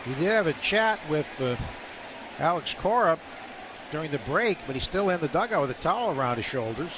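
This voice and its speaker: Fast-talking male baseball radio analyst. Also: on the high side, sing-song. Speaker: baseball color commentator. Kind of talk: live radio sports commentary. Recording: clear stadium noise behind. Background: outdoor ballpark ambience.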